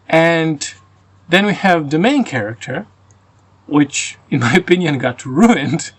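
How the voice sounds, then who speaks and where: A man with a Croatian and Russian accent, reviewing a book casually and venting his frustrations, with fillers and restarts. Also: a little high. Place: rural home.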